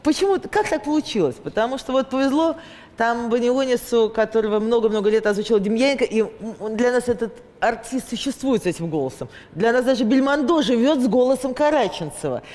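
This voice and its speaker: A woman's voice: fairly high pitch, animated, a little fast, a little mellow. A middle-aged woman hosting a studio talk show, asking curious questions. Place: TV studio with audience. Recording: reverberant studio with audience.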